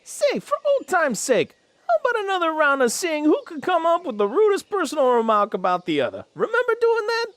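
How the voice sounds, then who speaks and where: A woman's voice: very high-pitched, wildly swooping pitch, fairly quick, dry recording, heavily acted. A woman voicing a cheeky male rat robot warrior in a cartoon-based radio drama, teasing in slangy banter. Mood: nostalgic, joking. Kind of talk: animated-series radio drama acting.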